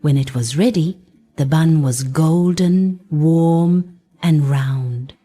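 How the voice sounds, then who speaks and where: A woman narrating scripted fairy tales for young children, speaking gently in short, simple phrases. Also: low-pitched, takes it slowly. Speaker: children's bedtime storyteller.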